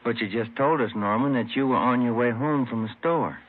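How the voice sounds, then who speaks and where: A man's voice: mid-range voice, fairly quick, close to an everyday voice. A man playing an earnest, easily exasperated police detective partner in an old radio crime drama. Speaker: supporting actor. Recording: narrow, tinny old radio recording.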